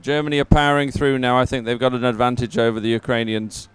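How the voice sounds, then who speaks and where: A man's voice: medium pitch, flat delivery, fast, smooth, dry recording. A man giving live race commentary in an informal, conversational and encouraging way. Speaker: sports commentator.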